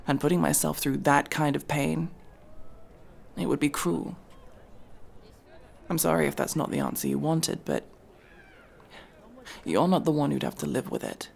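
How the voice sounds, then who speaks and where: A man playing a guarded, world-weary elf mercenary, centuries old but young-looking, in an intimate, brooding ASMR fantasy roleplay with a breathy, gravelly, halting delivery. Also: a little high, exaggerated voice. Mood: defensive, pained. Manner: brooding, halting, often dropping to a whisper.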